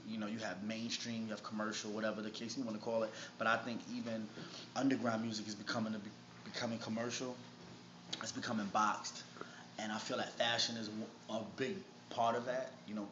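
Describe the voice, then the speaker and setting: A man from Harlem with a New York accent, talking casually and in slang as a rapper being interviewed. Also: on the low side. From Harlem, New York City. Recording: noisy, muffled, echoey room recording.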